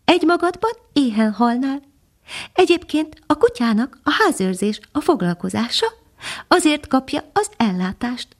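A woman voicing a modest little female nightingale in a storybook, speaking gently and patiently. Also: high-pitched, sing-song, voice pushed up, heavily acted. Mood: admonishing. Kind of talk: storybook character voice.

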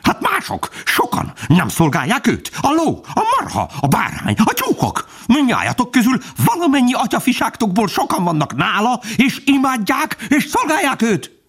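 A man voicing a fierce, fanatical hunting dog in a storybook, shouting emphatically with indignant devotion. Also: extremely high pitch, wildly swooping pitch, husky, voice pushed up, full character voice.

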